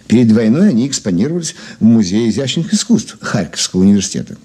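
An actor playing a courteous, precise art expert in a radio play, speaking formally and deliberately. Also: male voice, on the low side, very animated, dark tone, slightly rough, exaggerated voice. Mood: courteous, assured. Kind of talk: radio drama acting.

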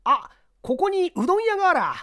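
A reader voicing a brash, impatient newspaperman in an audiobook mystery, with blunt, rough colloquial speech. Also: very high-pitched, very animated, fairly quick, a bit bright, pitched above the performer's own voice, full character voice. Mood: eager, prodding. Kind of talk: audiobook character acting.

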